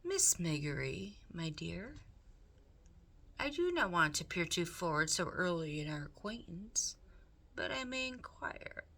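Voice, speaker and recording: A woman's voice: lowish voice, big pitch swings, measured pace, gravelly, bright, forceful tone, heavily acted. A woman reading a storybook aloud, voicing a suave, scheming male rat with theatrical, formal, ingratiating manners. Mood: smooth, flattering. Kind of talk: storybook character read aloud.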